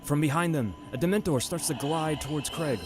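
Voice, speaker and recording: A man's voice: on the high side, brisk. A man narrating a scripted radio drama in a dramatic storytelling manner. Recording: music bed behind the voice.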